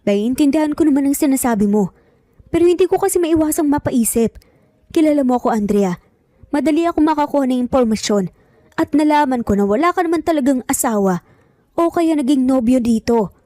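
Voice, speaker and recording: A woman's voice: sits high, animated, fairly quick, warm tone, dry recording. A woman voicing a persistent, earnest man in an audio drama, pleading with a Filipino accent. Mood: earnest, probing. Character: persistent, earnest man, the mother's former lover.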